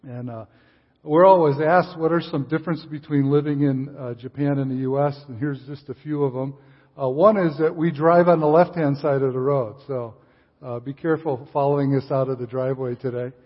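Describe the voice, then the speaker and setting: An older man, a visiting missionary speaker giving a sermon in a church, relaxed, with frequent fillers and restarts. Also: mid-range voice, a little fast. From Chicago area, USA. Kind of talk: sermon with casual asides. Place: church sanctuary. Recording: distant hall reverb, dull sound.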